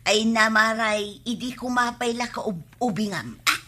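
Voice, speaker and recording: A woman's voice: on the high side, measured pace, sharp tone. An actress with an Ilocano accent playing a nagging, exclaiming village woman in a comedy radio drama.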